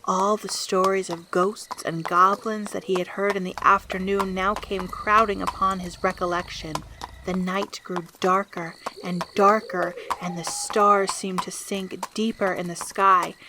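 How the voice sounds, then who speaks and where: Female narrator for audio drama: measured storytelling, medium pitch, clear background noise. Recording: clearly noisy background with clicks.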